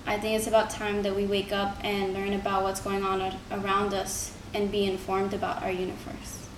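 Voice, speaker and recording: A woman's voice: mid-range voice, monotone, normal speed. A young woman giving an earnest, informal persuasive speech, like a student presenting to a class. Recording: roomy, distant mic, noisy background.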